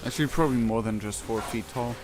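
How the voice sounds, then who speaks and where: A man's voice: mid-range voice, fast talker, noisy background. A man casually commenting on a video game as he plays and reads quest text aloud, with dry, wry asides. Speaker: gaming video host. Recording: game music behind the voice. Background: video game music.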